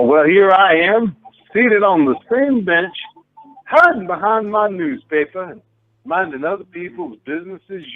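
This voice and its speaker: A man's voice: high voice, dry recording, exaggerated voice. A man playing a nosy, exclamatory busybody who narrates a radio play, in dry, muffled audio. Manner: exclamatory, gossipy. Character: nosy, chatty park-bench busybody narrating what he spies.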